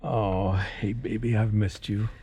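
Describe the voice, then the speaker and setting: Easygoing husband over guitar music. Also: male voice, on the low side, level tone, slow pace, mellow, breathy edge, full character voice. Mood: affectionate. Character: affectionate husband just home after time away. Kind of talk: radio drama acting. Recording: radio drama with guitar music behind.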